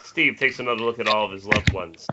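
A man's voice: fairly low pitch, fast, crowd noise. A man reading stage directions as scripted narration in an amateur screenplay table read. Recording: dull, noisy internet call with clicks.

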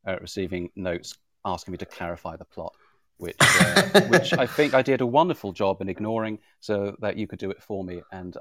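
A man's voice: lowish voice, a bit bright, no echo. A British man in an informal live conversation, speaking in a wry, effusive, mock-formal way. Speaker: audio drama writer, interviewee. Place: remote live podcast session.

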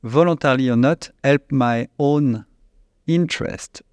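A man with a French accent, a company CEO, answering interview questions in a halting, searching way with frequent restarts. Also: mid-range voice, darkish tone, smooth, no echo. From France. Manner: halting, restarts often, searches for English words.

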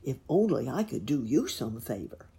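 A woman's voice: a bass voice, sing-song, gravelly, warm tone, breathy edge, exaggerated voice. A woman voicing a kind, brave male horse in a children's story, earnest and emphatic. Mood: grateful. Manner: neighing, earnest, emphatic, drawn-out.

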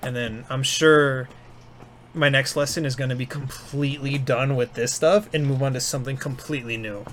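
A man's voice: medium pitch, steady tone, normal speed, a bit bright, slight background noise. A man co-hosting a podcast in casual chat, easygoing and often repeating words as he starts. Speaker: podcast co-host.

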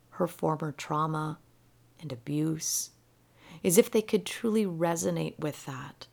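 A woman gently telling a personal story about healing a rescued dog, her voice soft and often dropping to a near whisper. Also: deep voice, gravelly. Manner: gentle and slow-paced. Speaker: animal healer.

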